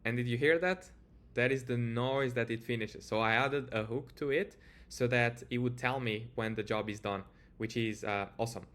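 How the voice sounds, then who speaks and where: A man's voice: medium pitch, fairly even intonation, moderate pace, hardly any pauses, a bit bright. A man with a Portuguese accent giving a casual screen-share tutorial, speaking informally and hesitantly with lots of filler words. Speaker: software developer presenting a tutorial.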